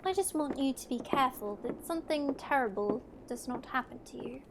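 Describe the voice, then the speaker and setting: A woman's voice: sits high, lively intonation, brisk, background noise. A woman acts out a middle-aged villain queen in a fairytale audio drama. She is formal, coldly imperious and full of menace, a schemer used to being obeyed. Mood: veiled warning.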